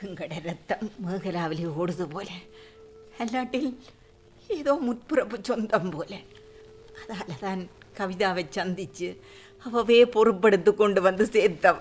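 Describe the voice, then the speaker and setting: Radio play: actress as a sincere, grateful middle-aged aunt, mid-pitched and earnest. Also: female voice, unhurried, slight background noise, close to an everyday voice. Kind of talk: radio drama acting.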